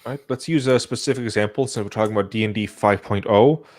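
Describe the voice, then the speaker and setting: Slightly low-voiced male podcast host. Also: quick pace, a little mellow. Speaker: host moderating a discussion. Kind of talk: casual podcast moderation.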